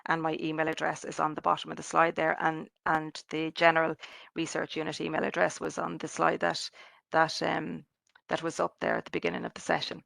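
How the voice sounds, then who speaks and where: Flat, gravelly woman presenting. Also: low-pitched, fairly quick, dry recording, clean studio sound. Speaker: research unit speaker on a panel. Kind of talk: wrapping up a presentation.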